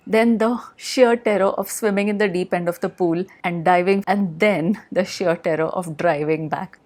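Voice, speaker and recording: A woman with an Indian accent telling personal memories as a podcast host, in a plain-spoken, reflective manner. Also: medium pitch. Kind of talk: personal storytelling.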